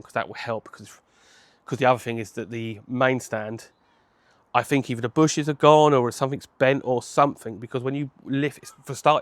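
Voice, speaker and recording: A man, hoarse from a cold, chatting casually to camera outdoors on a home patio, rambling and correcting himself as he goes. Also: mid-range voice, dry recording. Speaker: motorcycle vlogger.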